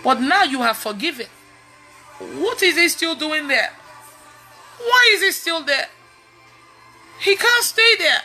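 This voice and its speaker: Female online preacher, Nigerian accent, thirties. Forceful, slightly high voice, chatty testimony style, emphatic. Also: slow, long pauses, faint music behind, poor audio. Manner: animated, emphatic, repeats phrases for emphasis. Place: indoors in a room at home.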